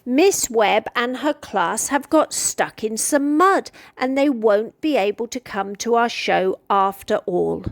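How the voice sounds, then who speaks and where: A woman's voice: a little high, expressive, slow, few pauses, no echo, clean studio sound. A British woman voicing a kindly, fussing hen schoolteacher from a storybook, with an encouraging, motherly manner. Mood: dismayed.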